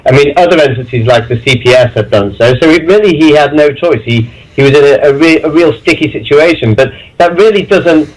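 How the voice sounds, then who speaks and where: A man's voice: a little high, a little fast, hardly any pauses, low-quality recording. A man from Leeds, calling in to a radio show and speaking emphatically and indignantly. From England (Leeds). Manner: emphatic, indignant, uses stock phrases. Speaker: caller. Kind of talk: phone-in call.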